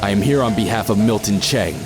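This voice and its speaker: An actor playing a cold, taunting masked mercenary in an audio drama, with a slow, menacing, deliberate delivery. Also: male voice, on the low side, music in the background, close to an everyday voice. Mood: cold menace.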